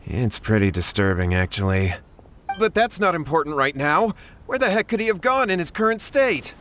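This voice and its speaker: Jittery young villager in a game, earnest, stammery, highish voice, slight noise. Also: male voice, big pitch swings, normal speed, fairly bright tone. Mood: worried. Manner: stammering, earnest, eager to please. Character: anxious, deferential young villager seeking help. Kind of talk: video game voice acting.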